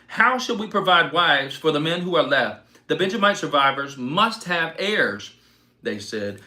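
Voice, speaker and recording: A man reading scripture aloud in an expressive, declamatory way, giving dramatic weight to quoted speech. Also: a little high. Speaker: reader.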